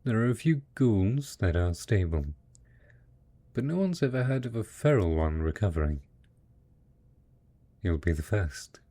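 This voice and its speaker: A man plays a devoted husband in a post-apocalyptic roleplay drama, talking softly and intimately to the spouse he cares for. His voice is fairly low and husky, and he takes his time. He is encouraging and hopeful, full of endearments. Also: very animated, unhurried, long pauses. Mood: hopeful, reassuring. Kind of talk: roleplay audio drama, intimate monologue addressed to listener.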